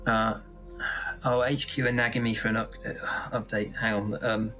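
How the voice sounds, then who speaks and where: A man's voice: fairly low pitch, little pitch movement, normal speed, bright, forceful tone, soft background music, low-quality recording. Man playing a flustered, stammering space-platform worker. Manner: hesitant, stammering, rambling. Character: flustered, polite male team member choosing an AI.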